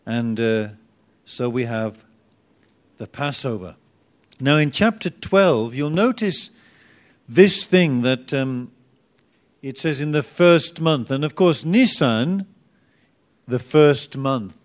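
Slow-speaking man teaching a Bible class. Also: mid-range voice, lively intonation. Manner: chatty and self-deprecating, loses his notes, rises to emphatic bursts.

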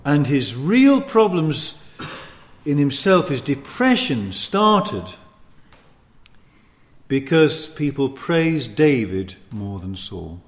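A man delivers a teaching sermon at a slow, measured pace. His voice sits at a medium pitch, and he sets out short phrases with deliberate emphasis, leaving pauses between them. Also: big pitch swings. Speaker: preacher teaching on ministry. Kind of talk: sermon teaching with scripture and anecdotes.

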